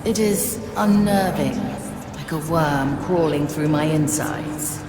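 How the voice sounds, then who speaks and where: An actress playing the solemn, commanding spirit of a living ghost ship, speaking formally. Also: female voice, deep voice, slow, noisy background. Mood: solemn, uneasy. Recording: echoing, long reverb under music.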